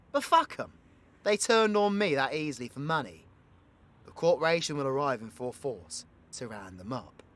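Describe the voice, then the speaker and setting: A man's voice: medium pitch, fairly bright tone. A British man playing a cocky, foul-mouthed but protective supervillain in an audio roleplay, with brash, teasing, slangy delivery. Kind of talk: audio roleplay acting addressed to the listener.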